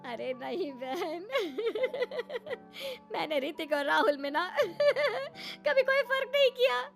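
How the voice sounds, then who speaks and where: A very high, shrill middle-aged mother-in-law in an Indian family story. She cannot stop giggling and protests her innocence through the laughter, over light music. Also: animated, drawn-out words, a bit bright, full character voice. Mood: laughing protest.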